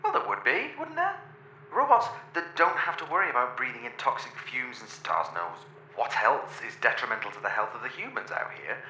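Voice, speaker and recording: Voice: mid-range voice, very animated, a little fast, pushed and bright. A performer playing a fussy, sarcastic robot given to theatrical ranting in a science fiction audio drama. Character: sardonic, ranting, greedy, fussy robot crew member. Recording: roomy echo, faint noise.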